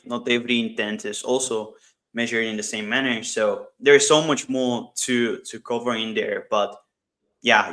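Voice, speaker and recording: A man's voice: medium pitch, fairly bright tone. A man speaking as a guest in an online business session, explaining things informally and emphatically through anecdotes. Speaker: guest, startup CEO.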